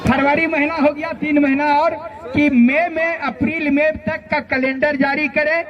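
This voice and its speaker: Very high-pitched man speaking at noisy rally. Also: level tone, unhurried, muffled recording. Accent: Jharkhand. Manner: colloquial, impassioned, addresses listeners directly with questions. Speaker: student leader and activist. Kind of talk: political rally speech to students. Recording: noisy outdoor rally, loud crowd behind.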